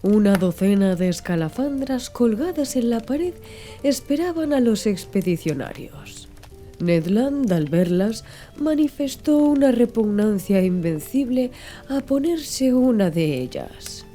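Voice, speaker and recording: A woman's voice: on the high side, very animated, unhurried, mellow, faint music behind. A woman reading a scripted audiobook narration.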